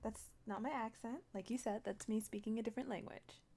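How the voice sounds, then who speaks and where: A woman's voice: a little high, fairly even intonation, fairly quick, dark tone, slightly rough. A woman talking in character during a roleplay, playful and slipping into Spanish phrases. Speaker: roleplay player.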